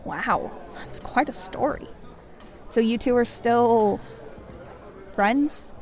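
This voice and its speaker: A woman's voice: on the high side, slow, darkish tone, a faint crowd. An actress playing a reserved, supportive divorced woman on a first date in a naturalistic audio drama. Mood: gently supportive.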